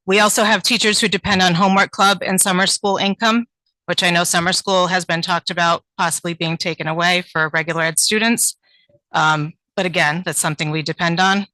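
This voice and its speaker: A woman's voice: on the low side, steady tone, moderate pace, bright, forceful tone, no echo, poor audio. A woman teacher reading a prepared public comment at a town board meeting, earnest and pleading, with frequent ums.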